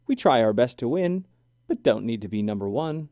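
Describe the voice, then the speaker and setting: A man's voice: mid-range voice, sing-song, normal speed, mellow, no room sound. A man voicing a good-natured, sporting beaver in a children's storybook, earnest and cheerful.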